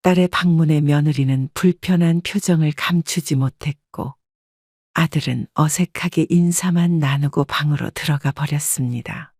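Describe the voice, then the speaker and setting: Calm woman narrating a life story. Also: low voice, moderate pace, dry recording, clean studio sound. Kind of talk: first-person scripted story narration.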